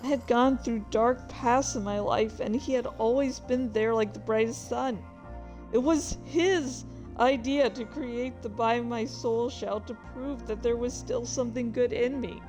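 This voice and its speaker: A woman's voice: a little high, steady tone, unhurried, a little mellow, faint music behind, low-quality recording. A woman reading their own fan fiction aloud as a streamer, moving between story narration and casual asides.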